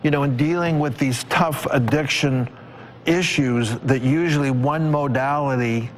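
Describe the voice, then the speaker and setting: A man's voice: mid-range voice, unhurried, a little hiss or hum. A man on a studio panel explaining medical points technically, with emphatic lists.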